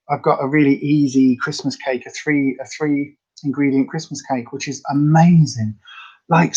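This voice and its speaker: A woman with a slightly raspy voice, chatting casually and rambling to viewers as the host of a live health update. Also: deep voice, darkish tone, muffled recording. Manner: chatty, rambling, many fillers.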